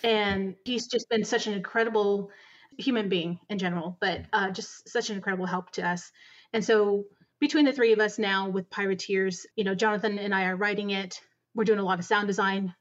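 An enthusiastic woman guest on a podcast, talking in long, earnest stretches. Her voice sits at an ordinary middle pitch. The sound is somewhat muffled and lacks top end. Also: steady tone, a little fast. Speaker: audio drama producer, guest. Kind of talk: conversational interview answers.

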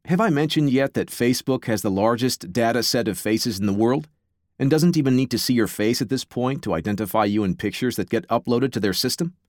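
A man's voice: mid-range voice, fairly quick, no room sound, studio quality. A man presenting a podcast with scripted narration, wry and blunt in manner.

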